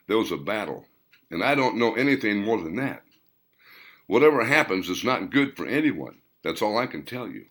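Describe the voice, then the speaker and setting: A man's voice: on the low side, big pitch swings, normal speed, a bit bright, heavily acted. A man playing a worried man who confides his recurring nightmares in an uneasy, confiding way.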